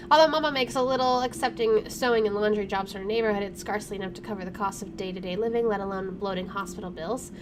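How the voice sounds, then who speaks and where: A chatty, expressive woman reading a visual novel aloud as she plays, with casual jokey commentary. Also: medium pitch. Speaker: gamer doing a first playthrough.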